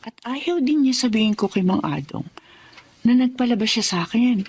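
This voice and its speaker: A woman's voice: a little high, expressive, normal speed, darkish tone, slightly rough. An actress playing a kindly, easily alarmed middle-aged mother in a Filipino drama. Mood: puzzled. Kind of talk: Filipino radio-style drama acting.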